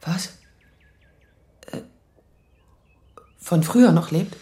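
A woman's voice: fairly low pitch, extremely slow, dark tone, roomy sound. An actress playing a timid, easily embarrassed grown daughter in her thirties, speaking hesitantly in a naturalistic radio drama. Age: 30s.

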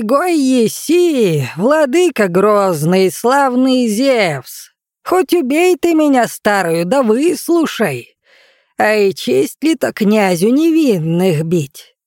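Storybook old witch voiced by a woman: fearless, shrewd, raised pitch, slow folk-epic chant. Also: sing-song, darkish tone, dry recording, clean studio sound. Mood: bold, chanting. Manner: sing-song, drawn-out folk-epic cadence. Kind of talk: audio fairy-tale character acting.

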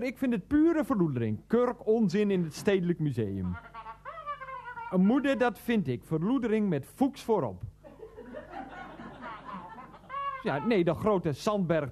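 An actor playing a hapless, earnest middle-aged husband and son in a radio play, hesitant, explanatory and easily defensive. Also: male voice, high voice, big pitch swings, moderate pace, crowd noise. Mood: indignant, petulant. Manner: hesitant, explanatory, petulant with his mother. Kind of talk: radio play acting, monologue and phone dialogue.

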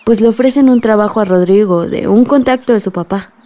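An actress playing a wary, affectionate young girlfriend in a radio drama, speaking in a soft, fretful way. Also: mid-range voice, fairly quick, slight background noise, lightly acted. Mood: worried.

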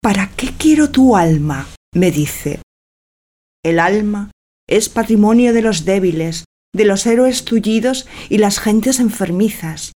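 An actress playing a naive, lovestruck young wife, sighing and yearning in a theatrical audio drama. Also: female voice, medium pitch, expressive, unhurried, warm tone. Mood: sensual, rapturous. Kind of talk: theatrical radio drama acting.

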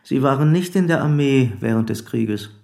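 Formal, probing police commissioner. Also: male voice, mid-range voice, animated, gravelly, a little mellow. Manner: formal, deliberate, insistent. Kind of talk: German radio play acting.

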